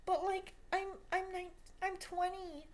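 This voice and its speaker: A woman's voice: very high-pitched, flat delivery, unhurried, darkish tone, pitched above the performer's own voice. A woman mockingly voicing a whiny, apologetic, self-important young composer who stammers and pleads for praise in a video diary. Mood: mock pleading.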